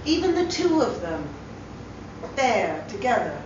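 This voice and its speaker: A woman reads a short story as dramatic narration. Her voice is fairly high and expressive, with lively pitch movement. The recording is distant and reverberant. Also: a little slow. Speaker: actor reading a short story. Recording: roomy echo, distant mic, dull hissy sound.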